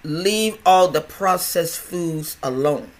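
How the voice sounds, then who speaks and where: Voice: mid-range voice, slow. Older podcast host with a stutter. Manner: stutters, restarts and repeats words; chatty, folksy and rambling. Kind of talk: casual health podcast monologue.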